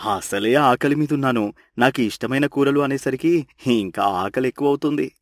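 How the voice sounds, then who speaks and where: A grumbling husband in a cartoon family tale, voiced by a man. He is blunt, teasing and quick to complain. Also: medium pitch, lightly acted. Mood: hungry.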